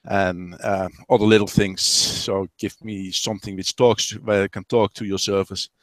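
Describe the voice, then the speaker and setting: A man's voice: fairly low pitch, flat delivery, moderate pace, no echo. A man with a Dutch accent, a podcast guest speaking English as a second language, a bit hesitant in casual chat. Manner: hesitant, slightly non-native phrasing.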